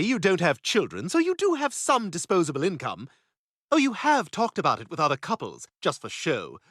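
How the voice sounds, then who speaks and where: A precocious baby boy with a clipped British accent, talks down to everyone in a lifted, bouncing voice that swoops high and low. He sounds smug and cutting, picking people apart in a dry, close recording. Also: fairly bright tone, no room sound. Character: arrogant, precocious male baby with a posh voice. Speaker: therapy patient. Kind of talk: animated sitcom voice acting.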